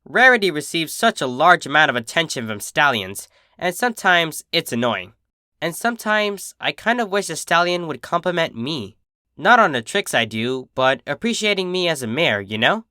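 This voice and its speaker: A man's voice: on the high side, big pitch swings, normal speed, sharp tone, dry recording, clean studio sound, pitched above the performer's own voice. A man voicing a cocky, protective female pegasus, a big sister with a brash, casual, reassuring manner. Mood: confiding.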